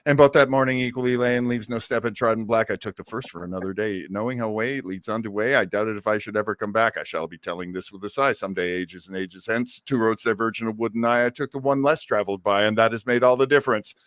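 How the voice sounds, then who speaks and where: A man's voice: fairly low pitch, steady tone, fast, hardly any pauses, a bit bright, smooth, dry recording, studio quality. A man hosting a podcast in casual, jokey chat. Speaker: podcast host.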